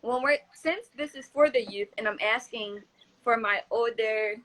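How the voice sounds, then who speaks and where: A young woman hosts a live phone stream, chatty and upbeat as she talks straight to her viewers. Her voice sits a little high and sounds bright and crisp. She keeps the flow casual and direct. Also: a little slow, rough recording. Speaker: host, foundation CEO. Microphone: phone on a live video stream.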